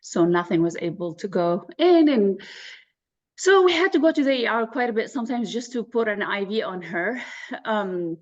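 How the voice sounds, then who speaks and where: A woman's voice: mid-range voice, dull audio. A woman from Iraq, around forty, telling her personal story candidly and matter-of-factly as an interview guest. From Baghdad, Iraq. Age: 30s to 40s. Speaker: interview guest, real estate agent.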